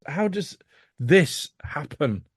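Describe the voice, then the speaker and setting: A man's voice: fairly high pitch, very animated, slow, husky, no room sound, clean studio sound. A British man chatting casually as a podcast guest, sweary and comically appalled. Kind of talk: casual chat.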